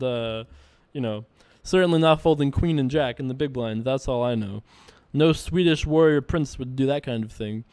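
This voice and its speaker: Jokey, smooth-voiced man. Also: mid-range voice, a little mellow, no room sound. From United States. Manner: rambling, jokey, self-mocking, laughs at his own jokes. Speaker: poker video host. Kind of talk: casual live commentary over online poker play.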